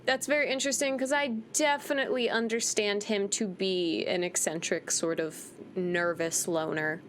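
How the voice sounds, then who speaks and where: A woman's voice: medium pitch, steady tone, moderate pace, slight background noise. A woman giving casual, playful playthrough commentary, conversational and talking back to the game as she plays. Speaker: gaming video host.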